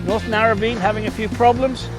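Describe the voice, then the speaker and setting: A high-pitched Welsh man talks eagerly into his handheld phone on a surf beach. He calls out to viewers by name as he narrates the race, and music plays clearly under his voice. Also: brisk. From Wales. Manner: chatty, excitable, addresses viewers by name. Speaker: livestream host. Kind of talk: live commentary to viewers. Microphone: phone mic held in hand.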